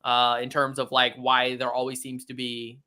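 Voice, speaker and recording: A man's voice: medium pitch, completely flat delivery, moderate pace, sharp tone, clean tone. A man in casual fan discussion, talking in a hedging, roundabout way with many filler phrases. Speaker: guest co-host from a geek show.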